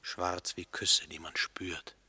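A brooding romantic in a poetic radio play. The low voice is very bright and forward yet rough-edged and husky, often near a whisper, with deliberate, intimate phrasing. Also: male voice, little pitch movement, exaggerated voice. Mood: dark, lyrical. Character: brooding, dreamy male lover speaking in dark poetic images. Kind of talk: German radio play acting, poetic and hushed.

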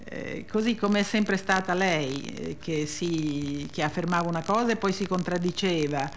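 A woman guest speaking informally in a radio interview, halting as she searches for words. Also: fairly low pitch, slight background noise. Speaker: guest reader and performer.

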